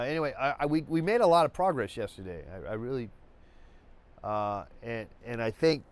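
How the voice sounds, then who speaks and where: A man hosting a live painting webcast, congested and stuffy, talking in a slow, rambling way with many restarts and fillers. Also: mid-range voice, clear, resonant tone. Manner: halting, many restarts and fillers, laid-back. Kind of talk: rambling live-stream chat.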